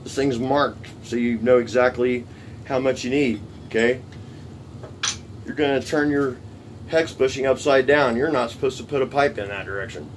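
A man's voice: mid-range voice, moderate pace. Folksy man, distant noisy workshop recording. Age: middle-aged. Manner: folksy, plainspoken, repeats points for emphasis. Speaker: DIY tool-building presenter. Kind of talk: hands-on workshop tutorial, casual. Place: small cluttered indoor workshop. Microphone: camera a few steps away. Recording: distant camera mic, noisy workshop hum.

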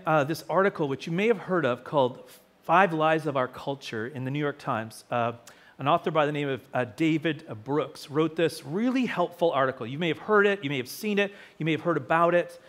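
A man in his thirties with a sore, fading voice, preaching a sermon at a lectern in a small church hall, telling stories with lively quoted voices. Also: fairly high pitch, normal speed. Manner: storytelling, animated quoted voices. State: sore throat, losing his voice. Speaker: pastor. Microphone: lectern mic, far camera.

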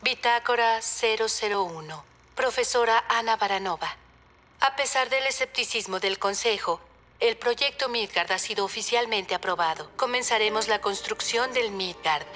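A woman's voice: medium pitch, normal speed, bright, forceful tone, soft background music, close to an everyday voice. A woman playing a determined, proud scientist recording a formal research log in a Spanish cartoon dub. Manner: formal, resolute.

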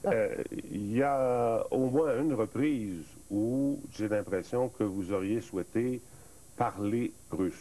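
Brief: middle-aged Quebec host, deep, dark and halting; old VHS hiss. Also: male voice. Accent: Quebec French. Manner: prompting, halting questions with many euhs. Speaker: talk-show host. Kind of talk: TV talk-show interview. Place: TV studio set, seated at table.

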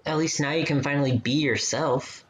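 An actor playing a gentle, supportive young man in a radio play, conversational and reassuring. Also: a little high, steady tone, moderate pace, natural voice. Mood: concerned.

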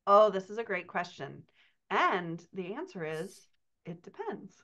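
A woman's voice: mid-range voice, big pitch swings, a little slow, noisy background. A middle-aged woman explaining things as a writing coach on a conversational podcast, stressing key words, over a video call. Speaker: writing coach answering questions. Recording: dull, muffled video-call audio.

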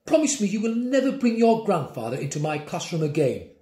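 A woman's voice: lowish voice, very animated, a little fast, mellow, pitched above the performer's own voice. A man reading a storybook aloud, voicing a prim, stern female schoolteacher who talks in a haughty, clipped, dismissive way. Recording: roomy echo.

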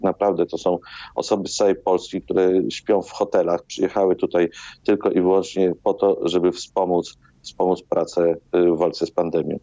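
Very deep-voiced man, hesitant. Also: mellow. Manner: hesitant, repeats words as he explains. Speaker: doctor, hospital chief interviewed as guest. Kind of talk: live radio interview answers. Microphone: video call. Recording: dull, roomy video-call sound.

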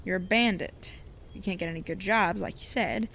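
A woman playing a gruff, stubborn young male deputy in a Western audio drama, curt and grumbling. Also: mid-range voice, moderate pace, fairly bright tone, a little hiss or hum. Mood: wary, grumbling.

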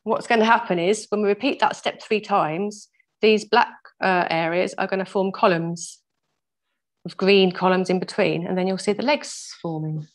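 A woman with a croaky voice teaching a weaving workshop over a video call, patiently explaining each step and laughing off her own mistakes. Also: mid-range voice, moderate pace. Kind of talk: step-by-step craft demonstration. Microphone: laptop mic over video call.

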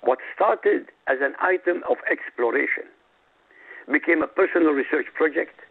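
A man's voice: on the high side, breathy edge. A man from Iraq whose first language is Arabic, a radio guest reading out a prepared statement in an emphatic, declamatory way. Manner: emphatic, declamatory, lists items slowly. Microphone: phone. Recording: tinny phone line.

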